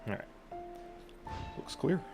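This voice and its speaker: A man's voice: slow pace, darkish tone. A man giving casual, sarcastic playthrough commentary, teasing and muttering to himself as he plays. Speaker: gamer. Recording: game music clearly behind. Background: video game music.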